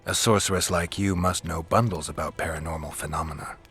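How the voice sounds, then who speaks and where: An actor playing a stoic male monster hunter in a video game, terse and deadpan with dry, laconic delivery. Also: deep voice, a little fast. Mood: blunt. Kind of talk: video game dialogue acting. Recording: music behind the voice.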